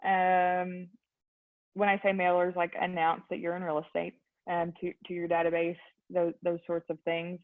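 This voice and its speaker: A woman's voice: fairly low pitch, completely flat delivery, normal speed. Young-sounding Texan woman over a tinny line. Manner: youthful voice, many ums, earnest. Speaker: real estate agent guest. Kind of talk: casual interview answers.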